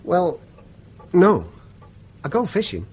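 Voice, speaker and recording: A man's voice: high-pitched, wildly swooping pitch, slow pace, darkish tone, full character voice. An actor playing an easygoing, self-deprecating British working man in his sixties, deferential and a little hesitant, in a radio play. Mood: polite. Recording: dull, noisy radio play recording.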